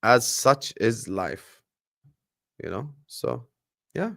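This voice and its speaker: A man from Jordan with an Arabic accent, a native Arabic speaker hosting a livestream watchalong in casual, animated chat, giving shout-outs to his viewers. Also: mid-range voice, drawn-out words, creaky. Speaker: livestream host.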